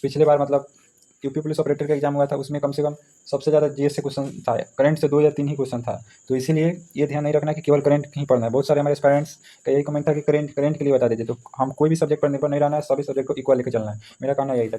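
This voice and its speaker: Fast-talking man teaching. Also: fairly high pitch, flat delivery, dark tone. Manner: repetitive, explanatory, punctuated with check-in tags. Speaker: maths teacher. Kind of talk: informal exam syllabus lesson.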